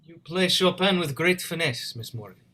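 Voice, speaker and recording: Man acting eccentric immigrant inventor, highish swooping pitch, courteous, clipped, period stage drama. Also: lively intonation, normal speed, bright, forceful tone. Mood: formal, admiring. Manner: clipped phrasing with dropped articles, courteous. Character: eccentric, formal immigrant male scientist, earnest and awkward. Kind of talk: play reading, period drama. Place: indoors, remote online reading. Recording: video call.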